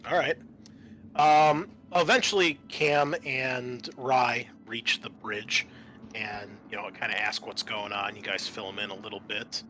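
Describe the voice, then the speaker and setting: A man's voice: medium pitch, fairly even intonation, unhurried, pushed and bright, a little hiss or hum. A man narrating a tabletop game as game master in a conversational, explanatory way.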